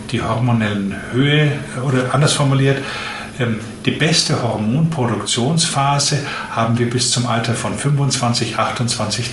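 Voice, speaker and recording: Measured man in his fifties. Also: medium pitch, a bit bright, roomy sound, a little hiss or hum. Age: 50s. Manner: measured, explanatory, long flowing sentences. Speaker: doctor. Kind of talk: interview answers.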